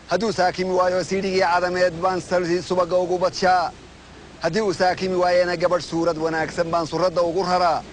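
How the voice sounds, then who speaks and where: A man's voice: high voice, a little hiss or hum. A man reciting poetry in a rhythmic, chanting delivery.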